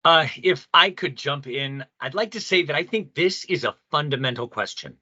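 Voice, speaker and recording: An actor playing an indignant, devout spiritual believer in an audio play debate, earnest and impassioned against machines. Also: male voice, a little high, animated, moderate pace, bright, forceful tone, no echo, muffled recording, heavily acted. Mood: earnest objection.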